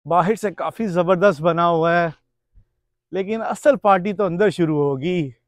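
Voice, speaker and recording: A man in his thirties giving a casual vlog tour in an animated, exclamatory showman's manner. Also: high-pitched, measured pace. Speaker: travel vlogger. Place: outdoors on a wooded road, then inside a cottage.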